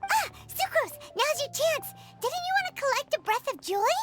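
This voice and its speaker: A woman voicing a tiny, cheerful, childlike fairy companion with a squeaky voice in a video game. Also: very animated, moderate pace, over loud music. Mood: eager.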